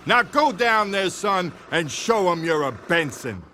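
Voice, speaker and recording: A man's voice: high-pitched, big pitch swings, measured pace, pushed and bright, slight background noise, heavily acted. A man voicing a proud, blustery middle-aged bee father who is easily exasperated.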